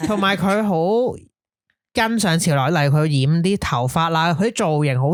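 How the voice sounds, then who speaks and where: A woman's voice: fairly low pitch, moderate pace, dry recording, clean studio sound. A woman co-hosting a podcast in Hong Kong Cantonese, chatting casually and often chiming in. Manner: interjecting, laughs often. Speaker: podcast co-host. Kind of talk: casual chat.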